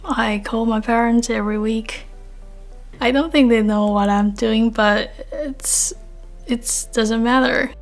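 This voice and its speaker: Female student researcher, Taiwanese accent. Plain non-native English, interview delivery, quiet music bed. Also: fairly high pitch, steady tone, takes it slowly. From Taipei, Taiwan. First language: Chinese. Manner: simple, non-native phrasing. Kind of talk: interview-style personal and project account.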